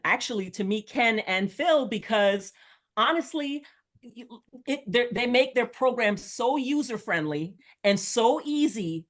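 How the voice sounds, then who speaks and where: A woman's voice: fairly high pitch, a bit bright. A middle-aged woman who was born in Jamaica and grew up in the United States. She speaks as a real estate coach and guest on a webinar, in a chatty, enthusiastic, rambling way over a video call. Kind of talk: casual webinar talk.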